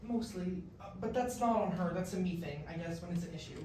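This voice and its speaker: Man playing an anxious male college student, musical theatre, self-doubting, high voice, very echoey room. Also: fairly quick, a little mellow, slight background noise, natural voice. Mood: hesitant, withdrawn. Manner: hesitant, self-deprecating. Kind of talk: musical theatre staged reading. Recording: echoey room from far off, piano accompaniment.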